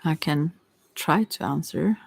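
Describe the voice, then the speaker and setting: A tarot reader talking casually and hesitantly, thinking aloud while answering questions, in a soft voice that at times drops to a whisper. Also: mid-range voice, takes it slowly, gravelly, slightly rough, dry recording. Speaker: tarot reader hosting a live reading.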